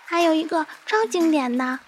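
A woman's voice: extremely high pitch, animated, normal speed, crowd noise, noisy background. A woman playing a cheeky, pouty eight-year-old little sister in a scripted radio skit. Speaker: radio co-host.

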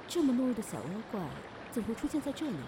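A woman's voice: a little high, big pitch swings, racing. A woman playing a confident young innkeeper in a fantasy audio drama, commanding and teasing. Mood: probing, decisive. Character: confident young female demon-inn boss, brisk and playful. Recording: noisy background ambience.

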